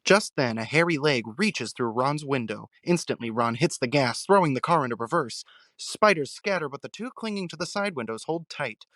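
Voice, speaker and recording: A man delivering dramatic scripted narration with suspenseful, deliberate phrasing. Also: mid-range voice, lively intonation, a bit bright, dry recording.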